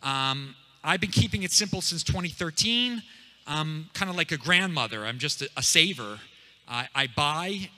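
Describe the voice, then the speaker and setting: A man talking loudly and with energy to a crowd at a meetup, in the style of a channel host. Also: on the high side, moderate pace, big-room sound. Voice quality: loud, energetic. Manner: hyped, pumped-up delivery. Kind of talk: live talk to a crowd. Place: meetup room.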